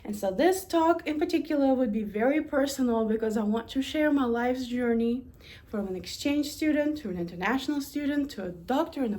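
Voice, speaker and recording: A woman's voice: high voice, darkish tone. A woman in her thirties from Russia with a Russian accent, a university administrator giving an earnest, prepared personal story talk, stressing her lists in rhythmic beats.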